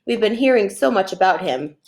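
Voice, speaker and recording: A woman's voice: mid-range voice, lively intonation, brisk, lightly acted. A woman voicing a genial, teasing father of young girls, light and reassuring, in a storybook reading.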